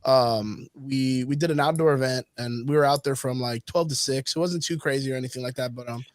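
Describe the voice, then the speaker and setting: A man's voice: mid-range voice, a little fast, no echo. A man co-hosting a casual podcast chat, laid-back and cursing freely, with a slight cold and cough. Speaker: podcast co-host.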